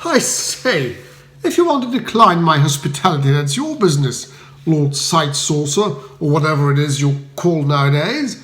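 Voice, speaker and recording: Man doing a high-pitched breezy English toff. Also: expressive, normal speed, fairly bright tone. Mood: haughty, offended. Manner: breezy upper-class chatter, dithering. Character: genial, foppish young English gentleman, breezy and dim. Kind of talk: comic audiobook character voice. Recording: roomy echo.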